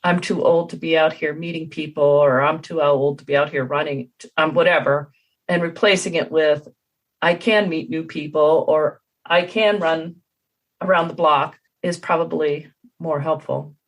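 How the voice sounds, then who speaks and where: Lower-voiced middle-aged woman podcast host. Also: fairly even intonation, normal speed, low-quality recording. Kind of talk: casual interview chat.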